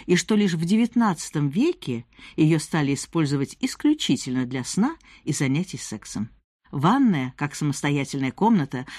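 Expressive woman reading an audiobook. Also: deep voice, dry recording, clean studio sound. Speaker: audiobook narrator. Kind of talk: scripted narration, nonfiction audiobook reading.

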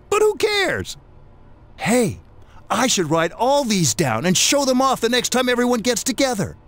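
A scheming middle-aged scientist from a game, who chuckles at his own bad puns. His fairly high voice swoops wildly up and down as he muses. He sounds playful and sly, never quite serious. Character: pun-loving middle-aged male scientist chairman, genial and scheming. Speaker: game character. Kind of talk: game cutscene voice acting.

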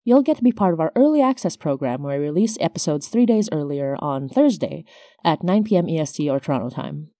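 Low, mellow woman podcast host. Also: big pitch swings, a little fast, dry recording, clean studio sound. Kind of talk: scripted podcast announcements and credits.

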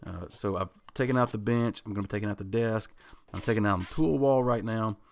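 A man in his thirties, a woodworking channel host, talking through his project in a relaxed voice-over, thinking aloud with ums and restarts. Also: on the low side, a little fast, no room sound. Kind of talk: casual voice-over explaining a project.

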